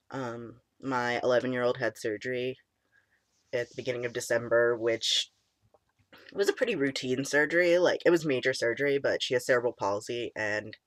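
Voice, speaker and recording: A young man in casual chat, his voice cracking and squeaky, deep and stuffy from a cold, rambling with many ums and likes. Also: a bit bright, no room sound. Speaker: vlogger. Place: small room indoors.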